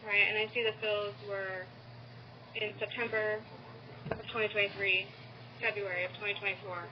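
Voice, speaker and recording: A woman's voice: medium pitch, little pitch movement, normal speed, a little hiss or hum. A woman working as a nurse practitioner, answering on a phone call in a formal, guarded and procedural manner without committing herself. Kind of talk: formal clinical phone conversation. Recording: muffled lo-fi phone call recording.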